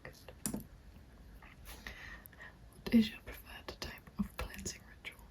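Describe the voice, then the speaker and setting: A young woman in a gentle, encouraging ASMR roleplay, speaking in a soft breathy whisper. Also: slow. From England. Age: young adult. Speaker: ASMR roleplayer playing a witch recruitment agent. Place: small dim room indoors. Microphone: close microphone. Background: computer keyboard typing.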